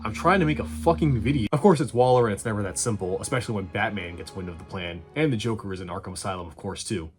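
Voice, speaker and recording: A man's voice: on the low side, quick pace, hardly any pauses. A man giving a casual scripted review commentary, with sarcastic dry humour and some swearing. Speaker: video game movie reviewer. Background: faint music.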